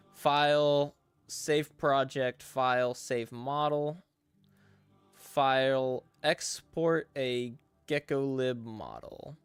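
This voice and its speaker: A man's voice: medium pitch, clean tone, dry recording, clean studio sound. A young man casually chatting on a live stream, talking through each step as he works and reading chat aloud. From English-speaking. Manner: relaxed, talks through steps as he works, reads chat aloud.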